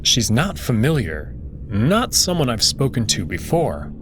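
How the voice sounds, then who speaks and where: A man reading dramatic first-person fiction in a dreamy, drawn-out way. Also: medium pitch, huge pitch swings, noisy background. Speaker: reader voicing a first-person protagonist. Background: music.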